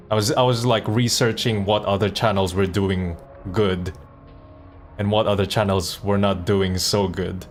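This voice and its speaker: A man who streams and voice acts, chatting informally and thinking aloud in hesitant bursts. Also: on the low side. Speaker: streamer and comic dub voice actor. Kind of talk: casual livestream chat.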